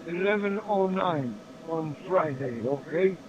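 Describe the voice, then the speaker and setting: A man from Australia, a radio amateur talking in an unhurried friendly way over a radio contact. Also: a little high, crowd noise. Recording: muffled noisy radio audio.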